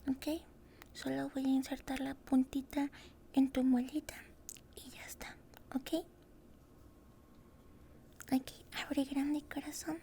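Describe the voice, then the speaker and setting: A woman doing an ASMR roleplay as a dental hygienist, speaking softly and breathily in a tender, soothing way, close to the microphone. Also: high-pitched, level tone, slow pace, pauses often, a little hiss or hum. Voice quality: soft whispery, breathy. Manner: tender, soothing, uses many diminutives. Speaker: ASMR creator role-playing a dental hygienist.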